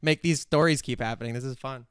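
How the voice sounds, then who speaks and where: A man's voice: medium pitch, fast, clean tone, dry recording, studio quality. A man co-hosting a live table read over a video call, chatting casually and rambling with enthusiasm.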